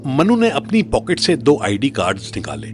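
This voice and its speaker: A man's voice: mid-range voice, huge pitch swings, moderate pace, over music. A man narrating a scripted audio drama story as its narrator.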